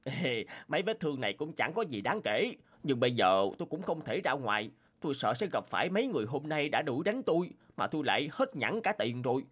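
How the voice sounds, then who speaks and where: A man voicing the male characters in a dubbed cartoon folk tale, with animated, emotional delivery. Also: mid-range voice, quick pace, hardly any pauses. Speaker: voice actor doing all male parts. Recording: music bed under voice.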